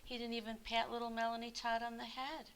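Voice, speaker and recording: A woman's voice: a little high, a little fast. An older woman, in her sixties or beyond, speaking expressively and kindly to young children as a storyteller. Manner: animated, addressing young listeners kindly. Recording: hissy background noise.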